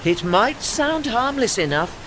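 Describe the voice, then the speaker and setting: An actor voicing an old, learned, wistful retired warship with a formal, articulate, British way of speaking in an audio play. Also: male voice, very high voice, big pitch swings, unhurried, noisy background, heavily acted. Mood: measured, recounting. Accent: British English. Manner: formal, articulate, pedantic, apologetic. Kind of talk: audio drama dialogue.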